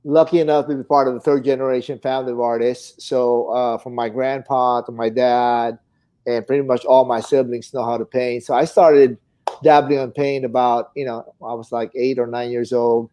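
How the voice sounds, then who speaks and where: A man's voice: medium pitch, level tone, normal speed, mellow. A middle-aged man from the Philippines with a Filipino accent, chatting casually in an easygoing, rambling storytelling way as an interview guest. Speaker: guest, fine artist painter. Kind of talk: casual interview conversation, reminiscing.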